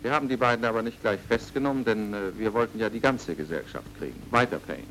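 A man's voice: lowish voice, quick pace, a bit bright, slight background noise, low-quality recording. An actor playing a serious, terse man in a radio crime drama. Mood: brisk, stern.

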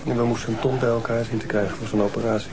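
A man playing a gravelly weary father. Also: medium pitch, level tone, racing, background noise, lightly acted. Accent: Dutch. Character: tired worried young father.

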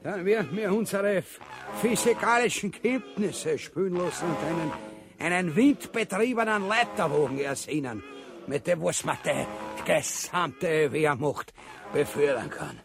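A man's voice: on the high side, lively intonation, measured pace, vocal fry. An actor playing a cowardly, pompous, grumbling king in a farcical radio play, swearing and ranting in a Viennese Austrian accent. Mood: indignant boasting. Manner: ranting, swearing, blustering with stammered repeats. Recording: music behind the voice.

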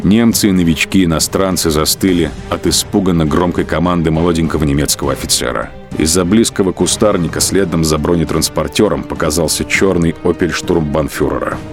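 A man giving grave, measured scripted narration for a radio play, with a Russian accent. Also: low voice. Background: music.

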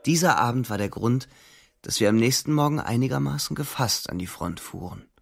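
A man's voice: medium pitch, lively intonation, fairly quick, gravelly, breathy edge. An actor playing a hardened young soldier who narrates his war in the first person in a German radio drama. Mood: tense, gleeful. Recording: loud music behind the voice.

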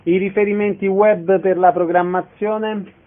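A man interviews on the radio in a somewhat high voice. He delivers questions and announcements, and the sound is thin and narrow, much like a telephone line. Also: little pitch movement, measured pace.

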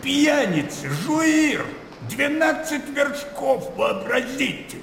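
Blustering old colonel, creaky and high-pitched. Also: male voice, animated, takes it slowly, a bit bright, full character voice. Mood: animated, jovial. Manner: blustering, exclamatory storytelling. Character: boisterous old colonel, garrulous war-story raconteur. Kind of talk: radio drama acting. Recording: roomy reverberant sound, audible background noise.

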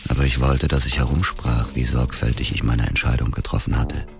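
A man's voice: a bass voice, steady tone, a little fast, creaky, music in the background. An actor playing a bitter, vengeful grieving man, terse and guarded, in a radio drama. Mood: cold bitterness. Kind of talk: radio drama, dialogue and first-person narration.

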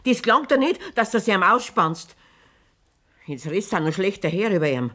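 Gekränkte bayerische Bäuerin, lebhafte Sprachmelodie. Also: female voice, medium pitch, sing-song, normal speed, pushed and bright. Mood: reproachful, wounded. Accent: Bavarian. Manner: reproachful, wounded. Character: humiliated, indignant farmer's wife and mother. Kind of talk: German radio play acting in dialect.

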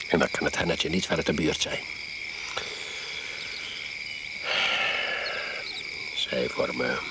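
A man acting in a radio play as a chatty, domineering expatriate host who prods his guest with questions. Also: a bass voice. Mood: prodding. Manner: insistent, prodding, keeps adding questioning tags. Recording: radio play with loud insect noise. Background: loud crickets, frogs and animal sounds.

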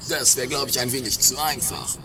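A performer playing a hammy, lovestruck suitor in an improvised comedy radio play, speaking in a theatrical, drawn-out way. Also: mid-range voice, a crowd in the background, close to an everyday voice. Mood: earnest. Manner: drawn-out, theatrical, mock-romantic.

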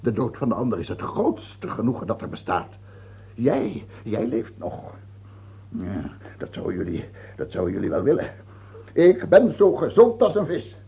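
Paranoid, husky tyrant king. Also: medium pitch, huge pitch swings, normal speed, full character voice. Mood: suspicious, mocking. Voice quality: husky, creaky. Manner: repeats himself, swings from wheedling whispers to shouting. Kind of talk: old radio drama acting. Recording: muffled, phone-like old radio recording.

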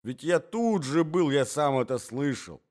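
A man voicing a flustered young gentleman who pleads excitedly. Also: on the high side, unhurried, pitched above the performer's own voice. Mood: pleading.

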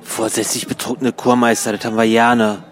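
An actor playing a skeptical, argumentative unemployed choir lyricist in a conversational German radio play. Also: male voice, mid-range voice, flat delivery, a little fast, natural voice. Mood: dry, reproachful. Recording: music bed behind the voice.

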